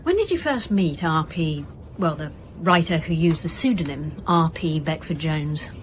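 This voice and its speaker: Casting: senior police detective, female, slightly deep voice, probing and dryly insistent, audio drama. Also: animated, moderate pace, slight background noise. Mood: politely insistent. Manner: questioning, direct. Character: probing female detective chief inspector, direct, dryly persistent. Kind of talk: naturalistic radio drama acting.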